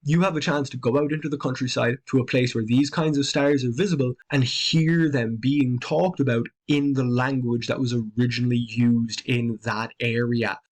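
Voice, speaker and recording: A man with an Irish accent calmly explaining the night sky in a conversational, educational voice-over. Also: medium pitch. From Ireland. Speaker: astronomy presenter.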